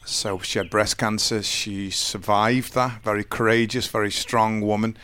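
A man in his forties with a deep voice, telling his life story slowly and earnestly in an interview, often restarting his sentences. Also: fairly even intonation, a bit bright, clean tone, no room sound. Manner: slow, halting, earnest storytelling with many restarts. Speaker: interview guest. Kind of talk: candid personal interview.

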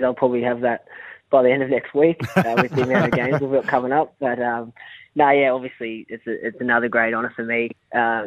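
Easygoing Australian man on a phone line. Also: no room sound. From Central West of New South Wales, Australia. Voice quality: rather high-pitched for a man. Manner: easygoing, many fillers and restarts. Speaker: football player guest. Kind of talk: phone interview on sports radio. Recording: dry tinny phone line.

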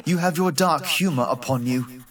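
A man's voice: mid-range voice, moderate pace, fairly bright tone, close to an everyday voice. A man reading a cold proud master. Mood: cool. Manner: cool, curt, derisive. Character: aloof, proud male master, cold and mocking.

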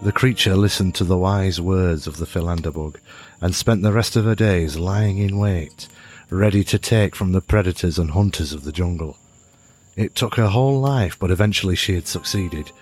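A man's voice: low-pitched, moderate pace, a little mellow, breathy edge. A man acting as a storyteller in an audio drama, narrating a fable in a dramatic storybook style. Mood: measured storytelling. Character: man telling a fable to a youngster. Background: music.

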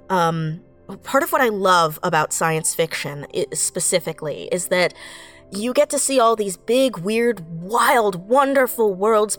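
A woman in her late twenties speaking earnestly and effusively as a writer in a reflective interview. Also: medium pitch. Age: late 20s. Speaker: audio drama writer and voice actor.

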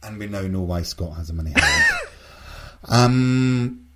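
A man's voice: lowish voice, measured pace, shouting, very noisy. A man hosting a casual, jokey podcast discussion among friends. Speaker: podcast host.

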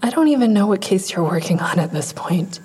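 A woman playing a weary, guarded, traumatized woman in an audio drama, curt and sardonic, with a husky voice. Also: medium pitch, very animated, a little fast, mellow. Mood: weary, bitter. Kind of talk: full-cast audio drama acting.

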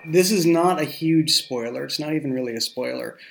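A man who writes and creates a show, chatting casually and explaining in a halting, self-correcting way. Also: a little high, gravelly, a bit bright, a little hiss or hum. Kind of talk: casual behind-the-scenes chat.

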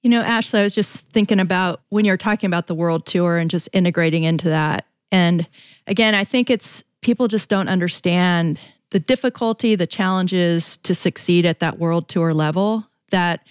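A woman's voice: lowish voice, moderate pace, smooth, dry recording, studio quality. A woman hosting a conversational podcast interview, talking in an earnest, summarizing way. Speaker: podcast host.

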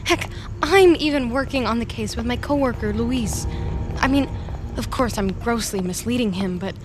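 A woman's voice: fairly high pitch, normal speed, natural voice. An actress in an audio drama playing an intense, jealous young woman who is insistent and pleading. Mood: urgent, insistent. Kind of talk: naturalistic audio drama acting.

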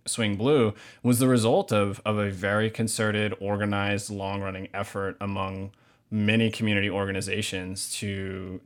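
A man speaking as a novelist guest in a measured, thoughtful way. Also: on the low side, fairly bright tone. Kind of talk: literary reading and thoughtful interview answers.

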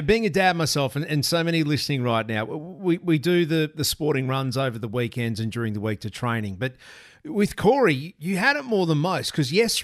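A man's voice: a little high, dry recording, clean studio sound. A middle-aged Australian man hosting a sports radio show, chatting and interviewing in an emphatic, jokey way. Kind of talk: animated radio interview chat.

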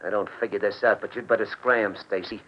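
A man's voice: fairly low pitch, steady tone, a little fast, natural voice. Tough, slangy gangster played by a man, muffled sound. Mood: wary. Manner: slangy, terse gangster talk. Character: tough shifty male gun racketeer. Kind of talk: 1940s radio drama acting. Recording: muffled 1940s radio recording.

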